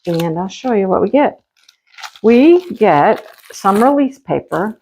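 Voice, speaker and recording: A woman's voice: mid-range voice, animated, slow pace, warm tone, slight background noise, low-quality recording. Homey woman chatting thru an unboxing, kinda stuffy from a cold. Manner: chatty, homey, talks to viewers directly. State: stuffy with a slight cough. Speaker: crafting channel host. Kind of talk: casual unboxing chat.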